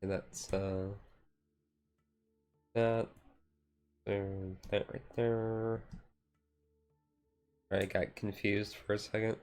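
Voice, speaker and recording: A man with a fairly low voice talks casually to his stream. His delivery stays flat and unhurried in melody, wandering from thought to thought. He is quick to put himself down in an offhand way. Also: clean tone, soft background music. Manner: rambling, self-deprecating. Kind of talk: casual live-stream chat while sorting cards.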